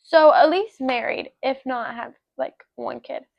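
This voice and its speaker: Creaky, high-pitched young woman, casual podcast chat. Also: a little slow, no room sound, muffled recording. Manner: informal, heavy use of "like". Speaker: podcast co-host.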